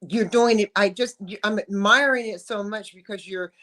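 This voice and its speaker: A woman's voice: medium pitch, fairly even intonation, moderate pace, fairly bright tone. A woman hosting an interview, gushing with effusive praise and playful slang. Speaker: talk show host. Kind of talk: casual interview.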